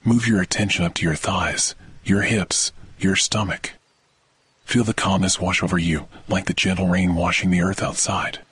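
A man hosting a guided sleep meditation, speaking in a soothing, measured, scripted delivery. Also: fairly even intonation, a bit bright, a little hiss or hum. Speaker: meditation podcast host. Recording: thunder ambience under the voice. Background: thunder rumbles.

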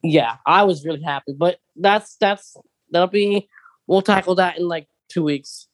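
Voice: medium pitch, unhurried, no room sound. A podcast co-host chatting casually and animatedly about a fan topic.

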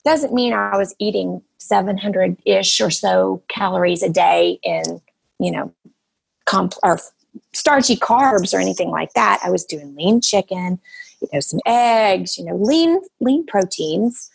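A woman in her fifties, a podcast guest, explaining her habits in relaxed casual chat with many fillers. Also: mid-range voice, measured pace, creaky, breathy edge. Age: 50s.

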